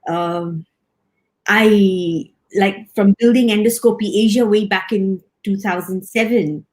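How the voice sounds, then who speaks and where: A woman's voice: medium pitch, slow pace, darkish tone. A woman in her fifties from Singapore, a hospital director, talking casually and chattily in an interview, with many restarts and frequent laughs.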